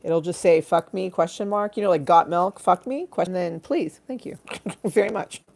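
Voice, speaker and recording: A woman's voice: on the low side, normal speed, creaky, darkish tone. A woman hosting a rambling comic live stream, quirky, giggly and bawdy, theatrically drifting into asides. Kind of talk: rambling comic livestream chat.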